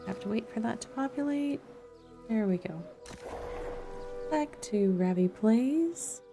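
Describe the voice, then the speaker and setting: A woman's voice: a little high, expressive, takes it slowly. A woman game streamer giving casual let's-play commentary, thinking aloud and muttering to herself as she plays. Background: chill background music and game sounds.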